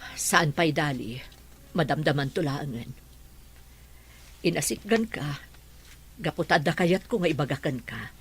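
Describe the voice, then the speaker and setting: A woman playing the concerned, steady madam of a household in an Ilocano radio drama, with an Ilocano accent and earnest authority. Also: sits low, unhurried, long pauses, slightly rough, full character voice. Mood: troubled. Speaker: voice actor in drama podcast. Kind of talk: emotional radio drama acting.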